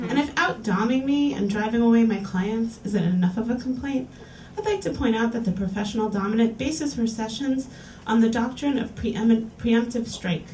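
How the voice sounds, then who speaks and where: Brief: woman, higher voice, reading aloud to a bar crowd, picked up from across a roomy space. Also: darkish tone, a little hiss or hum. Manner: clear, deliberate reading-aloud delivery. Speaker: reading series host. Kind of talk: live reading of erotica to an audience. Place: small lounge bar in front of an audience. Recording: roomy, distant live capture.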